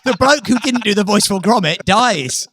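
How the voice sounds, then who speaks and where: A man's voice: sits high, expressive, normal speed, fairly bright tone, no echo. A man co-hosting a comedy podcast, teasing in a playful, mock-outraged way during casual banter.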